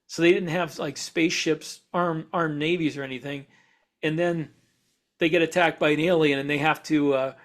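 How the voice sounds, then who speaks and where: A man's voice: on the high side, fairly even intonation, normal speed. A man co-hosting a podcast, explaining speculative ideas in a casual way and piecing his thoughts together as he goes. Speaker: podcast co-host.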